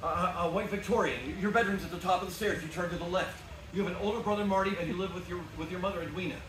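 A man plays an excitable, desperate husband in a stage radio play. His voice sits high, with a stammering, pleading delivery. It is heard from far off in an echoey, noisy theatre. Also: fast, natural voice. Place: small indoor theatre stage. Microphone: far camera from back of audience. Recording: distant, echoey, noisy theatre audience recording.

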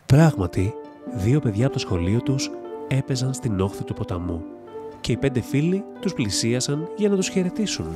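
A man's voice: medium pitch, big pitch swings. Man narrating a fairy tale over music. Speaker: storybook narrator. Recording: music bed behind the voice. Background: instrumental music.

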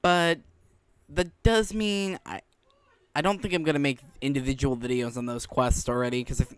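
A man giving casual, rambling commentary on a game playthrough, halting and often rephrasing himself. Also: medium pitch, fairly even intonation, smooth, no room sound. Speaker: gaming video creator. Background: game music.

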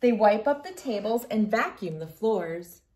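A woman in her thirties reading a picture book aloud in an expressive storytelling manner for young children. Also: mid-range voice, a little slow, a little hiss or hum. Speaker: children's book reader and host. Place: living room at home, indoors.

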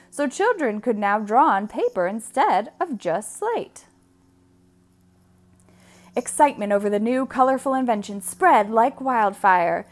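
Slow, high-voiced woman reading a picture book. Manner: deliberate, drawn-out emphasis on key words. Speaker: read-aloud storyteller.

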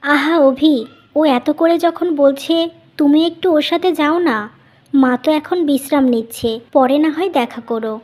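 Woman playing a high-voiced, caring elder sister. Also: normal speed, lightly acted. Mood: gently concerned. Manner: gentle, solicitous. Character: kind, caring, patient elder sister and sister-in-law.

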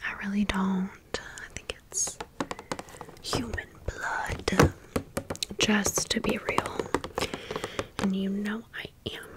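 A woman doing casual, chatty ASMR storytelling in a soft, breathy, unvoiced whisper. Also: mid-range voice. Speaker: ASMR video creator.

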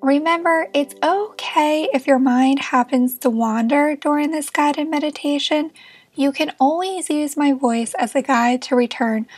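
A woman leading a guided meditation as a therapist, speaking in a gentle, reassuring and encouraging manner. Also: sits high, a little slow, dry recording.

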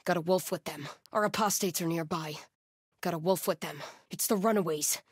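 A woman's voice: on the low side, normal speed, husky, no echo, studio quality. A woman playing a grim, fervent cult hunter in a video game, speaking in terse, menacing, commanding barks. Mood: alert, matter-of-fact. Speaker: video game enemy character.

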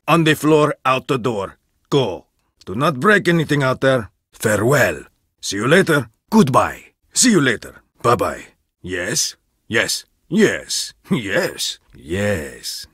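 A man's voice: on the low side, a bit bright, husky, no echo, clean studio sound, exaggerated voice. An actor playing a stern, scornful male archaeologist in a video game, harsh and commanding as he scolds his student. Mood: curt. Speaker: archaeologist mentor.

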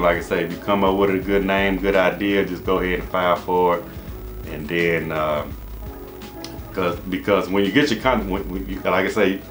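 Deep-voiced American man, casual and rambling. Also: fairly bright tone. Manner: rambling, repetitive, informal. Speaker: HVAC business coach and channel host. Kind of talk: casual advice monologue to camera. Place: small indoor office.

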